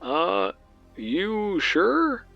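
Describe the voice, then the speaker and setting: A man voicing a calm male mission controller who speaks in a steady, businesslike way over the radio. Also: high-pitched, very slow, gravelly, husky, dull audio, soft background music, pitched above the performer's own voice, heavily acted. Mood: concerned.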